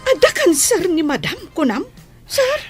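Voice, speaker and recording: A woman's voice: very high-pitched, big pitch swings, unhurried, soft background music, full character voice. A woman playing a sympathetic, deferential employee talking to her boss in a radio drama. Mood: curious. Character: sympathetic female employee of a businessman. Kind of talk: Ilocano radio drama acting.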